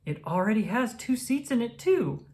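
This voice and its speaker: An eager little girl from a children's storybook, played by a woman reader. The voice jumps up high and moves about animatedly, full of excitable spirit. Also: normal speed.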